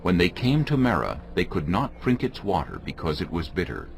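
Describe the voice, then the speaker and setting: A male narrator with a deep voice tells scripture as a solemn audio drama. His intonation moves widely as he tells the story, with faint music underneath. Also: wildly swooping pitch, normal speed, creaky.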